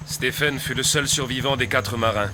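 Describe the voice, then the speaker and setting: A man's voice: medium pitch, moderate pace, sharp tone, lightly acted. Man playing a polite persuasive scientist in a game cutscene, mid voice, noisy background. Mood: storytelling, intrigued. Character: courteous, persuasive male scientist, a doctor, recounting mysteries. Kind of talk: dubbed video game cutscene acting.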